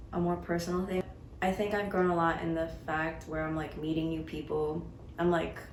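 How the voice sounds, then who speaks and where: A young woman in her late teens speaks about skating in a casual, filler-heavy way. Her voice sits slightly low. The room is echoey, with faint noise behind her. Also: creaky. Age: young adult. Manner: relaxed, many "like" fillers. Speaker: skater. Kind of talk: casual interview answers.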